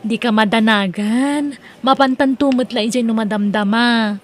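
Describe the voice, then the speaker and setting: A woman's voice: fairly high pitch, fairly even intonation, moderate pace, lightly acted. A woman playing a reassuring, persuasive swindler who poses as a seller in a radio-style comedy drama. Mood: friendly, coaxing. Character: smooth-talking female swindler posing as house seller.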